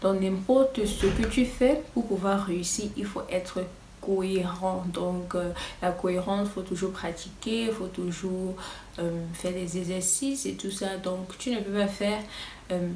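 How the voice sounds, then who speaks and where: Une femme anglophone, voix lointaine dans une pièce résonnante. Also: female voice, medium pitch, steady tone, darkish tone, echoey room, distant mic, slight background noise. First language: English. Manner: hesitant, many filler pauses, nervous. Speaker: English teacher and YouTuber. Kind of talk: casual vlog-style lesson.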